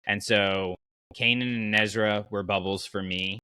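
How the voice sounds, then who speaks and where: A man's voice: lowish voice, fairly even intonation, unhurried, sharp tone, clean tone, no room sound. A man hosting a podcast in a casual, enthusiastic and conversational way. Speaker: podcast host.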